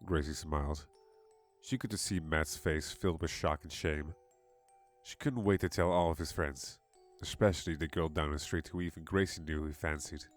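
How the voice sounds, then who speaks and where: A man's voice: extremely low pitch, steady tone, moderate pace. A man giving a dramatic scripted narration of a horror story. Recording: music behind the voice.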